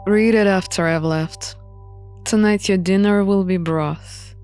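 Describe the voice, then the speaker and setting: A woman voicing a composed, reserved and quietly kind queen in a visual novel, terse and regal. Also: medium pitch, fairly even intonation, unhurried, faint music behind, close to an everyday voice. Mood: calm command.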